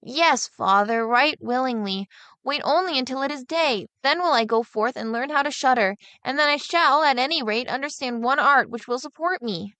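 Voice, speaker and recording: Naive farm boy, voiced by a woman reading, earnest and higher than her own voice. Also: moderate pace, bright, forceful tone, no room sound. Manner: earnest, guileless. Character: naive fearless young male peasant boy.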